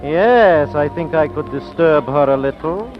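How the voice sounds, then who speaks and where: An actor playing a courtly, melodramatic foreign gallant in a 1940s radio comedy. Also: male voice, a little high, expressive, unhurried. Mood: suave. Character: suave, gallant, theatrically devoted foreign gigolo. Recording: dull vintage radio recording, music behind.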